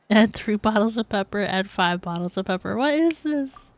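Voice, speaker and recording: A woman live-streaming a game with casual, giggly commentary, reacting out loud as she plays. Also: mid-range voice, animated, measured pace, slight background noise.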